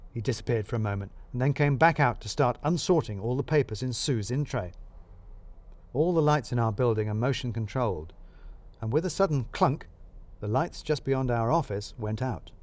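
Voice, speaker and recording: A man narrating a scripted comic mystery in the first person, wry and expressive. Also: mid-range voice, moderate pace. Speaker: narrator of a serial mystery story.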